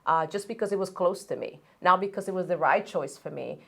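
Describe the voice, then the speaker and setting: Woman with a low voice. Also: fairly quick, gravelly. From Dominican Republic. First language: Spanish. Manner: earnest and steady, with frequent restarts and fillers. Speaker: guest, college coach and business founder. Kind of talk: conversational interview storytelling.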